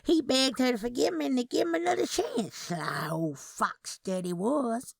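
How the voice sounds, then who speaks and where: A woman's voice: high-pitched, lively intonation, normal speed, creaky, slightly rough, no echo, studio quality, pitched above the performer's own voice, exaggerated voice. A woman voicing a tiny, scornful twin aunt in her eighties, blunt and agitated, in a Southern Gothic story. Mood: agitated, indignant. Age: 80s.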